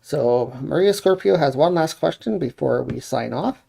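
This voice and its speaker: A man with a heavily creaky, fried voice and a dark, relaxed tone. He co-hosts a live stream in a casual way, passing along questions from the chat. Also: a little high, moderate pace. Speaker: co-host handling chat and callers.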